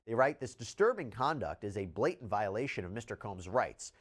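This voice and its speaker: Animated male legal news presenter. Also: on the low side, a little fast. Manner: articulate, emphatic when quoting documents. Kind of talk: scripted legal news explainer.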